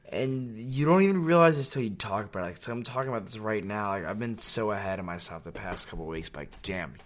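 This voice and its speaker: A young man from New York, a podcast guest, chatting casually in a rambling, animated way with many fillers. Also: fairly low pitch, fast. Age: young adult. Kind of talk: casual chat.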